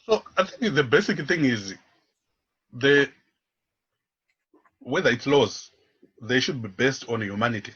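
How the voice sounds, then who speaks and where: Male radio interview guest, activist type, husky tone, halting and searching delivery, slightly muffled audio. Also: medium pitch, expressive, a bit bright. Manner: halting, searching, repeats words as they go. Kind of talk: unscripted radio interview talk.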